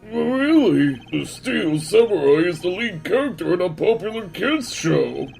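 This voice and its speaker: Meek, sorrowful man for a visual novel played by a man: gravelly edge, swinging intonation, music underneath. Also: high-pitched, big pitch swings, unhurried, darkish tone, heavily acted, low-quality recording. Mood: earnest, sad. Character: meek, downcast male children's-show action actor. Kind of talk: fan-dub game voice acting.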